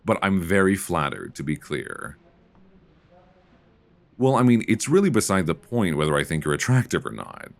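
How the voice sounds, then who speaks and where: A man playing a mild-mannered, flirtatious middle-aged librarian who can turn quietly commanding, in an intimate, conversational ASMR roleplay on a close mic. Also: low-pitched, sing-song, moderate pace, gravelly, long pauses, dry recording, exaggerated voice. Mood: gently reluctant. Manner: conversational, hesitant, teasing. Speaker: audio roleplay performer. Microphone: close binaural microphone.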